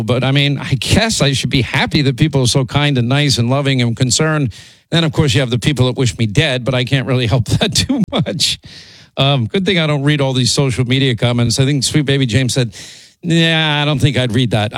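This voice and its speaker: Hoarse male talk radio host, dry studio. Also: medium pitch, no echo, poor audio. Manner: rambling, repeats himself, pushes on. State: hoarse from laryngitis.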